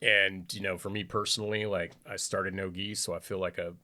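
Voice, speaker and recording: A man's voice: low-pitched, level tone, brisk, bright, forceful tone. A man talking as a podcast guest in casual chat, laid-back and friendly, calling people "dude" a lot.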